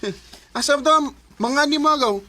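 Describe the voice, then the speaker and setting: A man's voice: extremely high pitch, moderate pace, bright, forceful tone, slight background noise. A man playing an easygoing young village boy. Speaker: main character in the drama.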